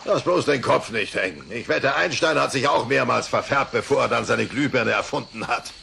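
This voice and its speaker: A man playing a bumbling, good-natured but short-fused middle-aged father in a German cartoon dub, with a gravelly voice. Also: mid-range voice, moderate pace, bright, forceful tone, a little hiss or hum. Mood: cheerfully consoling.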